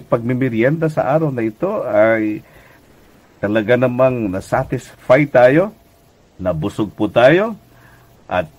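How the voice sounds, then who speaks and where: A man's voice: fairly low pitch, a little mellow. A Filipino man preaching as a pastor and radio host, speaking conversationally and earnestly, exhorting listeners with polite address.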